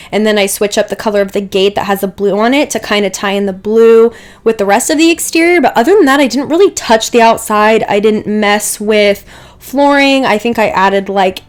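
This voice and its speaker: Woman with a stuffy, dry throat chatting. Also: mid-range voice. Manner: chatty and rambling, many "like"s and asides. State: getting over a cold, clearing her throat. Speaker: Sims build creator. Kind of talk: casual voice-over narration of a speed build.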